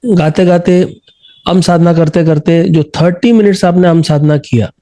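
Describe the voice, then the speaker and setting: Man, Indian accent, slightly high pitch, very mellow tone, encouraging, conversational coaching style, some English phrases. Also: fairly even intonation, unhurried. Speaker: singing coach and host.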